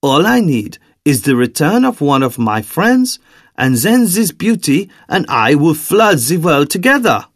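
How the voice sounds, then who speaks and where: A man voicing a tall, sly villain in an audiobook, with a soft German accent and a coaxing, theatrical, deliberately courteous delivery. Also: a little high, sing-song, no echo, studio quality, voice pushed up, exaggerated voice. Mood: grandiose, enticing.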